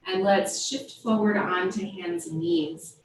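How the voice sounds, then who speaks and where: A woman teaching yoga, guiding a body scan with gentle, invitational step-by-step cues. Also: lowish voice, fairly even intonation, slow. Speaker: yoga instructor. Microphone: distant microphone. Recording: very echoey room, distant mic, faint noise.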